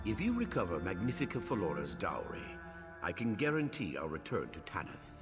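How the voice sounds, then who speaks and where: A man's voice: sits low, huge pitch swings, a little fast, music in the background. An actor playing a stern, cold, suspicious male commander in a video game, speaking formally in a clipped, humorless way. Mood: cold, guarded.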